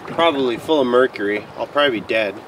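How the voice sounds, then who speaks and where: A young man rambling to the camera from a small boat on a winter lake, in an offhand, deadpan, joking way. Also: medium pitch, quick pace. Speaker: snowboarder. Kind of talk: casual rambling vlog to camera. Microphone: wide-angle camera mounted on the boat. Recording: distant mic with loud wind and boat noise.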